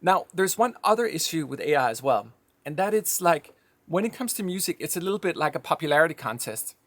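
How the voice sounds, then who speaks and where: A man's voice: fairly high pitch, expressive, brisk, fairly bright tone, dry recording. A man from Denmark with a slight Danish accent, giving a reflective, conversational monologue with firm opinions. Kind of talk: personal monologue.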